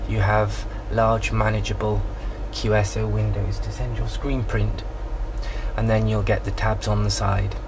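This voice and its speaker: A man with a fairly low, gravelly voice talks through software steps in a plain, informal tutorial style. He sounds like a hobbyist instructing at home. The handheld recording carries clear background noise. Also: flat delivery, unhurried. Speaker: amateur radio hobbyist giving a software tutorial. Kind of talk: informal step-by-step tutorial narration. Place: small home radio room, indoors. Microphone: handheld camera or phone microphone. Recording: noisy handheld camera in radio room.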